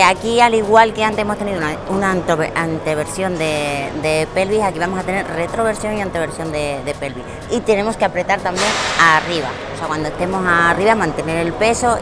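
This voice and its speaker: A woman in her thirties explaining gym exercises on a lapel mic, in a relaxed teaching way with frequent fillers and repeated words. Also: lowish voice, fairly quick. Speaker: fitness trainer. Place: large indoor gym. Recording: music playing behind the voice. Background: background music.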